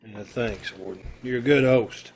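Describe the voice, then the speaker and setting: A man's voice: medium pitch, unhurried, slight background noise, natural voice, poor audio. A man acting a stubborn, unrepentant condemned murderer in an old-time radio play, plain-spoken and stoic. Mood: weary, polite. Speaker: amateur radio-troupe actor.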